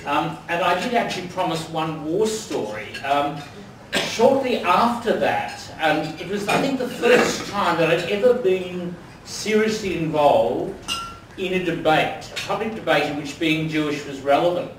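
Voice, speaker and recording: A man's voice: on the high side, expressive, measured pace, recorded from a distance, background noise. Wry older Australian man in an echoey hall. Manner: wry, anecdotal, with many ums. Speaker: dinner speaker. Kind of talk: after-dinner speech. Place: large dining room. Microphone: distant mic.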